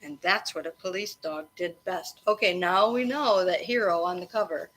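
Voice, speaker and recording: A woman teacher reading a storybook aloud to children expressively, pausing to explain and prompt her listeners. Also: lowish voice, fairly bright tone, poor audio. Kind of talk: interactive children's read-aloud of a storybook, with questions and asides. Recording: faint background noise.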